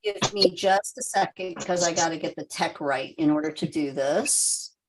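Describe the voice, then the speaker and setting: A woman's voice: sits low, normal speed, background noise. A woman in her sixties, hosting a live online sales presentation in a chatty, self-deprecating way with lots of ums. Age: 60s. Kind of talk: casual live-stream pitch.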